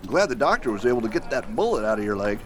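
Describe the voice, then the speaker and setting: An actor playing a steady, determined male US marshal in a Western radio drama, commanding and earnest. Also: fairly low pitch, very fast. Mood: warm. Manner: commanding in action, earnest and friendly at rest. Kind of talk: amateur radio drama acting.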